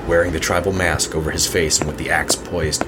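Very deep-voiced male horror narrator. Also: steady tone, very noisy. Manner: deliberate, dramatic. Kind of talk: scripted horror story narration. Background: music under the voice.

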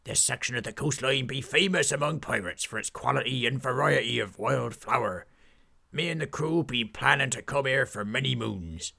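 A man voicing a boisterous pirate captain, loud and gruff with hearty pirate talk, in a storybook tale. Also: medium pitch, moderate pace. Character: boisterous male pirate captain, flower lover.

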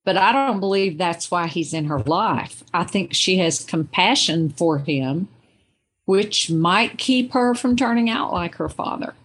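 A woman talking as a guest in a literary discussion, in a thoughtful, reflective and deliberate manner. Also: fairly low pitch, animated, slight background noise.

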